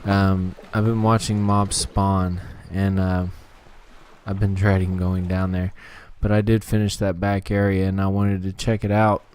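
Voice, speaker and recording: A man with a lowish voice, a little hoarse and scratchy, chatting casually over his gameplay. He talks himself through each task in an easygoing way, and faint clicks can be heard behind him. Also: level tone, a little slow, a little mellow. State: slightly hoarse throat. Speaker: gaming channel host.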